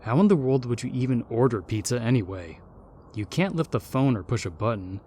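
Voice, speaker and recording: A man's voice: medium pitch, expressive, a little fast, a little mellow, a little hiss or hum, close to an everyday voice. A man playing a jaded, indifferent, snobbish ghost in a comedic audio roleplay, dry, aloof and sardonic. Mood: disdainful.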